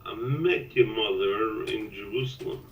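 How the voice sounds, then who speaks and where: An older man, a father, talks candidly with his son in a documentary interview, halting and repeating himself. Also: fairly low pitch, recorded from a distance. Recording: echoey room, away from the mic.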